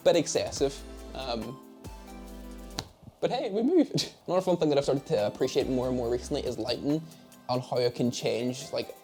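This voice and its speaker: A man's voice: mid-range voice, vocal fry, warm tone. A nineteen-year-old man with a Northern Irish accent, chatting casually to camera in a Q and A about his media job, with rambling, self-mocking asides. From Derry, Northern Ireland. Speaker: esports head of media. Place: home office setup.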